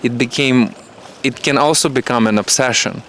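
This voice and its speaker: A man whose first language is Russian and Ukrainian, a guest chatting animatedly in English and sometimes jumping up in pitch. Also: normal speed, slight background noise. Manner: animated, acts out voices and jumps up in pitch. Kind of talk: casual conversation.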